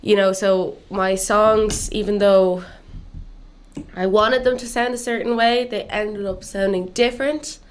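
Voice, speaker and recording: A woman's voice: mid-range voice, unhurried, slight background noise. A young woman musician from Cuba who grew up in Dublin, chatting casually in an interview with lots of "like" and "um". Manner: relaxed, chatty, full of "like" and "um". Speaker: musician guest.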